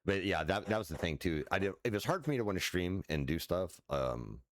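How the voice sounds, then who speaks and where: A man in his forties, a streamer with a deep voice, chatting loosely at home with many fillers and restarts and casual swearing. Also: animated, fairly quick. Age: 40s. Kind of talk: casual rambling chat while sim racing. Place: his house.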